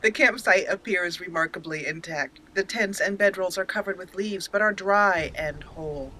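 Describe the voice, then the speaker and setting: A woman hosting a let's play, narrating it as an expressive storyteller and speaking for her character. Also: on the low side, moderate pace, a little hiss or hum, rough recording. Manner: expressive storyteller, acting out lines. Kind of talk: roleplay storytelling over gameplay.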